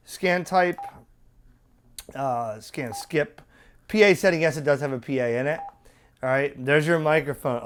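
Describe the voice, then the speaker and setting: A man's voice: a little high, slight background noise. A man presenting a casual, off-the-cuff hands-on product demo, conversational and opinionated, often tossing in rhetorical questions.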